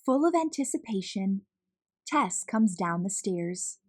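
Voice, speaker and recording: Female storybook narrator, slow and expressive, slightly breathy-husky tone. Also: mid-range voice, no echo, clean studio sound. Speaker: children's story reader, channel host.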